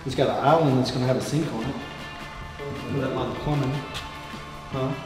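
A man's voice: mid-range voice, steady tone, normal speed, heavy reverb, over loud music, poor audio. A man, giving blunt, crude commentary while walking through an unfinished house. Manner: crude joking, blunt opinions. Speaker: real estate vlogger. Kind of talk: casual walkthrough commentary. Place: unfinished house under construction, indoors and outdoors.

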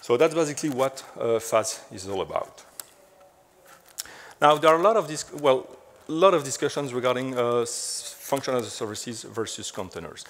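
A man's voice: medium pitch, animated, normal speed, fairly bright tone. A middle-aged man from French-speaking Belgium with a French accent, giving a conference talk on stage in a chatty, filler-heavy way. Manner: chatty, frequent "so" and "uh" fillers, light self-deprecating humor. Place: large dark conference stage.

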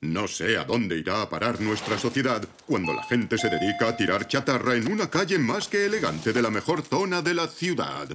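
An actor voicing a fussy, pompous grown-up zebra uncle in a dubbed cartoon. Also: male voice, on the low side, big pitch swings, normal speed, hardly any pauses, background noise. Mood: indignant. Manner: pompous, indignant.

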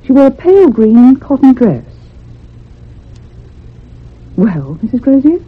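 An actress playing a curious, insistent convalescent lady who narrates in a measured literary way in a radio drama. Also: high-pitched, sing-song, husky, heavily acted. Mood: insistent, puzzled. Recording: muffled, phone-like old radio sound.

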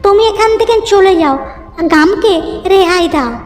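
A woman plays a plucky young village girl in a cartoon. Her voice is extremely high, earnest and deliberate, with determination in it and music underneath. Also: expressive. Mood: earnest, firm. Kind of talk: children's cartoon dub.

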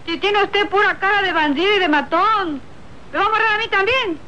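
A woman's voice: very high-pitched, brisk, pushed and bright, lo-fi sound. A woman playing a bold, cunning young country woman with a Mexican accent, feisty and teasing in a radio soap opera. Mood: defiant. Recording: faintly noisy old radio serial recording.

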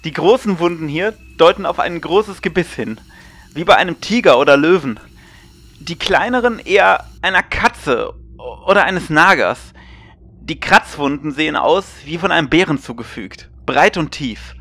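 A man's voice: a little high, animated, normal speed, pushed and bright, heavily acted. A man playing a sober, uneasy small-town doctor in a German horror radio play. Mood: sober, analytical. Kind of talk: German horror audio drama acting.

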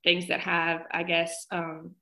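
A woman's voice: fairly low pitch, completely flat delivery, slow, creaky, bright, forceful tone. A woman in her fifties chatting casually as a guest author in an interview.

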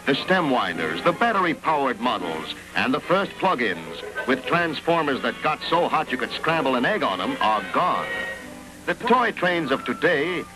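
A man's voice: mid-range voice, big pitch swings, moderate pace, over music, low-quality recording. An American man reading a dramatic, poetic scripted narration in a storytelling manner. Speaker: film narrator.

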